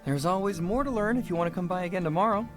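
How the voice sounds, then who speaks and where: An actor playing an earnest young puppeteer with an American accent, halting and sincere as he speaks. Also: sits high, faint music behind, close to an everyday voice. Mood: friendly, bashful. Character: earnest young puppeteer, passionate about Czech heritage.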